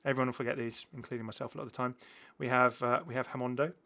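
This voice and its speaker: Bright-voiced man hosting a gaming video. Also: medium pitch, fairly even intonation, very fast. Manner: chatty, enthusiastic, exclaiming. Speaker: host of a gaming channel. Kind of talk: casual gameplay showcase commentary.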